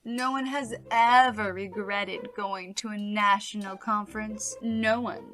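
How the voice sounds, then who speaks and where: A woman voicing an earnest, persuasive talking pill in a radio play, coaxing with deliberate phrasing. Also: medium pitch, fairly even intonation, a bit bright, close to an everyday voice. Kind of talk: dramatic radio play acting. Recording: music behind the voice.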